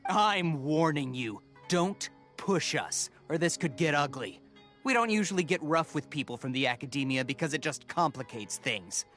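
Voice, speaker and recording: An actor playing a menacing male mercenary thug in a video game, gruff and threatening. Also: fairly high pitch, lively intonation, normal speed, fairly bright tone. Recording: game audio with faint background music.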